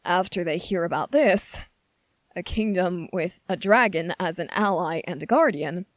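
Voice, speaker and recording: A woman's voice: fairly low pitch, measured pace, no room sound, close to an everyday voice. A woman playing an anxious, earnest young prince in an ASMR fantasy roleplay, speaking carefully and formally with hesitant pleading. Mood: earnest, diplomatic.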